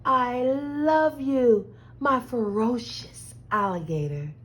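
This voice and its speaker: A woman reading the part of a loving, patient mother in a picture book, tender, soothing and expressive. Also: on the high side, takes it slowly, a little hiss or hum. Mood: caring.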